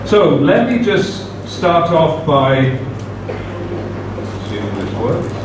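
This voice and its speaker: Brief: man, slow measured conference speaker, heard from a distance in a very echoey room. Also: fairly high pitch, sing-song. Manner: measured, careful delivery with frequent "uh" fillers. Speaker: guest speaker at a university seminar. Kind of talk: formal conference talk. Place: university seminar room. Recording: very echoey room, distant mic, background noise.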